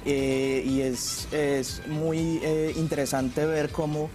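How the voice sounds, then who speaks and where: Young male teacher from Colombia, slightly high voice, hesitant explainer, studio interview. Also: flat delivery, takes it slowly. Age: young adult. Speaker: history workshop teacher, studio guest. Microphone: lapel. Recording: music bed behind the voice.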